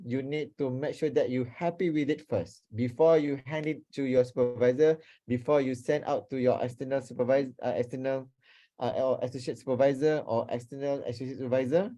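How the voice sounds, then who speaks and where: A man's voice: mid-range voice, fairly quick, mellow. A man with a Malaysian accent teaching an interactive online seminar, repeating himself and checking in with participants as he goes. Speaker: lecturer, workshop speaker. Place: online video call.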